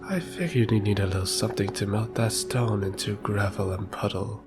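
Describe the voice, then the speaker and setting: A man plays a wry councilman in a fantasy audio drama. His voice sits fairly low and has a needling, theatrical manner. There is faint music behind. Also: fairly even intonation, moderate pace, lightly acted. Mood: teasing.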